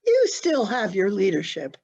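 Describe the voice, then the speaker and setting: A woman's voice: mid-range voice, wildly swooping pitch, normal speed, creaky, darkish tone, full character voice. A woman playing a polite, placating older society lady in a stage comedy, genteel and soothing, on lo-fi audio. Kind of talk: stage comedy acting, one-act play. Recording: dull, lo-fi online call audio.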